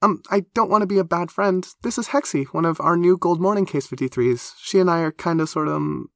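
An excitable teenage monster girl from an audiobook, acted out by a man. The pitch is lifted far up and the delivery is breathless and emphatic. She gushes and stammers nervously. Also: a little fast, vocal fry, dry recording, clean studio sound, close to an everyday voice. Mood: flustered. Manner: gushing, flustered, stammering, emphatic. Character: excitable, nervous teenage girl creature with barbed-wire hair.

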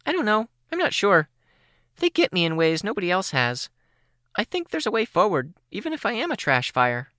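A chirpy, talkative young teen girl spills her words out in quick rushing bursts. Her voice leaps high and moves a lot. The audiobook sound is dry and close. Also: big pitch swings, pushed and bright, no echo. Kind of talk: audiobook character voice.

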